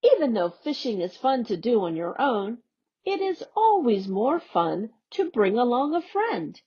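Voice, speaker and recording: A woman on an education staff reading a youth newsletter aloud in a slow, expressive storybook manner. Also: fairly high pitch, slightly rough. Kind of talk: read-aloud for young learners. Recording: dull lo-fi audio.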